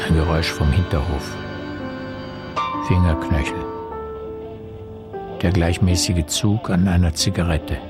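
A brooding male narrator in a noir radio play. His voice is low and he takes his time, setting down terse, measured poetic fragments. Prominent music plays behind him. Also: steady tone. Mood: terse, suspenseful.

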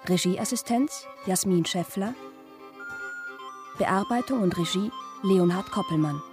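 A woman radio announcer reading scripted credits in a formal, clearly articulated way. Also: mid-range voice, a little slow. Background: music.

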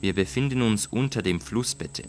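Scheming, polite nobleman, voiced by a male reader: low, measured, insistent, literary audio story over music. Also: a little mellow, close to an everyday voice. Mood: feigned concern. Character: cunning avenging nobleman feigning polite concern.

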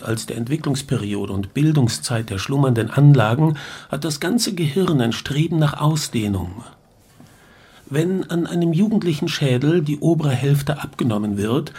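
A man's voice: medium pitch, mellow, slightly rough. An actor playing a pedantic male professor who delivers a formal lecture in a German radio play.

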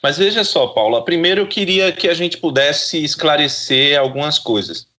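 A man's voice: a little high, expressive, normal speed, pushed and bright. A man, speaking didactically as an expert guest in an interview. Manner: didactic, academic wording. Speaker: guest expert, psychologist and professor. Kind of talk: explanatory interview talk.

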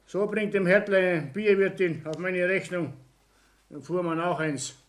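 A man's voice: high-pitched, steady tone, a little slow. A man acting a proud, aggrieved Bavarian business owner in a 1960s German radio play, self-righteous and blustering. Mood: bitter, reproachful.

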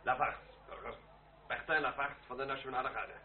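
An actor playing a dutiful, stubborn National Guard sergeant, plain-spoken and stiffly official, in a period radio drama. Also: male voice, mid-range voice, fairly even intonation, brisk, a little hiss or hum, close to an everyday voice. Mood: dutiful, unbudging. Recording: echoey, tinny old radio play recording.

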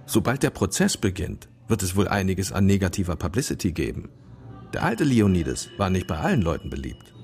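A man's voice: low-pitched, big pitch swings, brisk, darkish tone, faint music behind. An actor playing a worldly, wary older father in a crime radio play, speaking dryly and cautiously. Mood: guarded.

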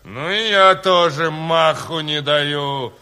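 Coarse swaggering commissar, highish voice, slow delivery, radio drama, long reverb. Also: male voice, sharp tone, clean tone. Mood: boastful. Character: brazen, boastful dock-loader turned commissar, drunken womanizer. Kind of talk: 1970s Soviet radio drama acting. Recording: reverberant hall-like studio radio play.

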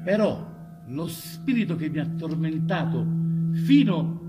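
A man with a southern Italian accent telling folk stories in a theatrical, unhurried way with dramatic pauses. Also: on the high side, big pitch swings. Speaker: stage performer telling childhood stories. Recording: music behind the voice. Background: musical instrument.

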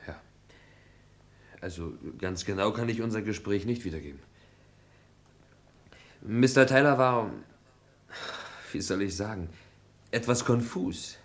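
Guy acting a polite hesitant young witness in a vintage radio thriller, low voice, halting. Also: lightly acted. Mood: hesitant, earnest. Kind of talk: 1950s German radio crime drama acting.

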